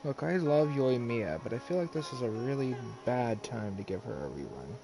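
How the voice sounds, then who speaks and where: A man's voice: mid-range voice, expressive, normal speed. A man chatting and musing aloud in casual live game commentary, on a noisy stream.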